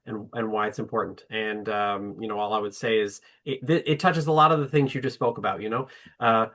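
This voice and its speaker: A man's voice: lowish voice, steady tone, a little fast, a bit bright. A man speaking on a webinar panel about his orchestra, hesitant, with many fillers and restarts. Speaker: orchestra representative.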